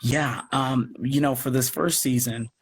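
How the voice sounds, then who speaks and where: A man speaking as an interview guest in a casual, halting way, thinking aloud as he goes. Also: medium pitch, steady tone, breathy edge. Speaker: guest, audio drama producer and actor.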